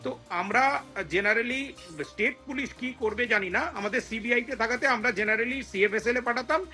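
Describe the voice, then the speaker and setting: A man's voice: very high-pitched, moderate pace, bright, forceful tone. An older man explaining as a news panel expert, hesitantly, repeating phrases and mixing in English terms. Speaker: retired investigator, expert panel guest. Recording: faint music under the voice.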